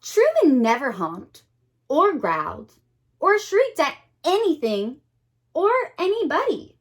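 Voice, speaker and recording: A woman reads a children's story as a teacher would, in a high voice that moves up and down a great deal. She takes it very slowly, with dramatic pauses. Now and then she turns to her young listeners with an expressive aside. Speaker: teacher reading a picture book to children.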